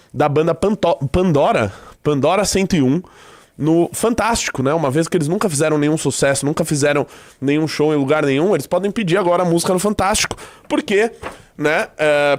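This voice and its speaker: A Brazilian man with a stuffy nose hosting a live show in loose, joking commentary, peppered with "tá?". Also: on the high side. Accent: Brazilian Portuguese. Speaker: livestream host.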